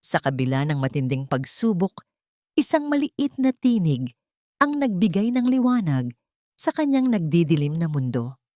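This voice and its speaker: A woman narrating a dramatic story in a solemn, emotive way with measured phrasing. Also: lowish voice, big pitch swings, mellow, no echo, clean studio sound.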